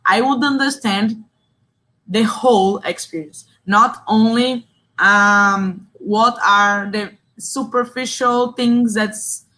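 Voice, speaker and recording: A woman's voice: a little high, slow pace, bright, forceful tone. A young woman from Brazil with a Brazilian Portuguese accent, leading an online training session in English, reading chat answers aloud and praising them briefly. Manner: reads chat replies aloud, short praising interjections. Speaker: session facilitator. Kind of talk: online workshop facilitation.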